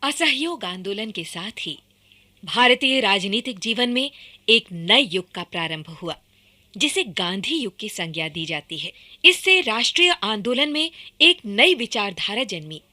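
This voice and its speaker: A woman narrating a formal, scripted radio documentary in an earnest, clearly enunciated way. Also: mid-range voice, big pitch swings, unhurried, pushed and bright.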